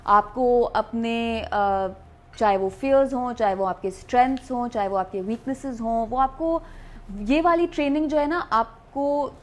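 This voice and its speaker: Pakistani woman, high-pitched and unhurried, actress in casual interview, code-switching. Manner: switches between Urdu and English.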